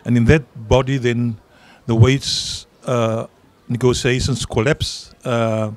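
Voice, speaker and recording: Middle-aged man, Afrikaans accent, union leader, emphatic, medium pitch. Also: little pitch movement, slow pace. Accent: South African Afrikaans. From South Africa. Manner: earnest, emphatic, repeats words when stressing a point. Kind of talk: interview answers at a public event. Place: outdoor garden event. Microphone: handheld mic.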